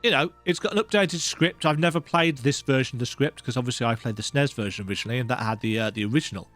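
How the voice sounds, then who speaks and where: A man's voice: mid-range voice, fast talker, a bit bright. A man giving casual, chatty, self-deprecating commentary as host of a let's play, speaking close to the mic. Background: video game music.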